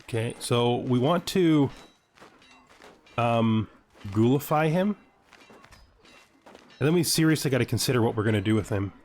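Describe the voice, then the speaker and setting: A man's voice: medium pitch, animated, moderate pace, pauses often, darkish tone, a little hiss or hum. A man giving casual let's-play commentary, thinking aloud and wryly addressing the game's characters. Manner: wry, talks to game characters. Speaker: gaming video host.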